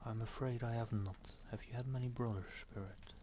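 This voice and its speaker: Meek, humbled miser read by a man, submissive, flat intonation, hushed storybook style, noisy audio. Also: medium pitch, a little fast, natural voice. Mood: timid. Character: humbled, timid miser addressing a spirit.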